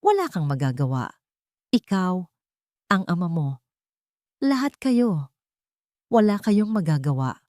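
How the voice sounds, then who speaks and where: A woman's voice: lowish voice, big pitch swings, measured pace, pauses often, darkish tone, no room sound, clean studio sound. A woman voicing a cold, calculating male crime boss in an audio drama, menacing and contemptuous. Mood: gloating menace.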